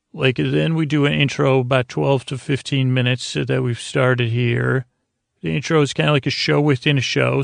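A middle-aged man with a creaky voice hosting a bedtime podcast, talking in a halting, meandering, goofy way that keeps interrupting itself. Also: mid-range voice, no room sound, studio quality. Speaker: podcast host. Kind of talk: rambling bedtime podcast monologue.

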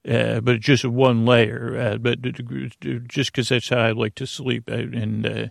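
A man's voice: medium pitch, little pitch movement, a little slow, gravelly, no echo, studio quality. A male podcast host talking in a meandering sleep-show monologue, full of fillers, restarts and self-deprecating asides.

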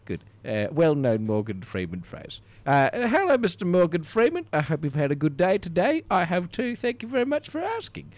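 A man's voice: on the high side, huge pitch swings, normal speed, hardly any pauses, dry recording. A man co-hosting a comedy podcast, asking questions in a playful, mock-formal way. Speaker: podcast co-host.

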